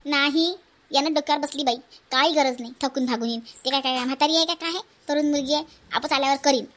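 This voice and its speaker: A woman's voice: high-pitched, fairly even intonation, quick pace, fairly bright tone. A woman voicing an outspoken, sarcastic younger sister-in-law in a family cartoon, blunt and emphatic, with a Varhadi Marathi accent. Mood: sarcastic, indignant. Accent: Varhadi Marathi from Vidarbha. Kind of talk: homely family cartoon voice acting.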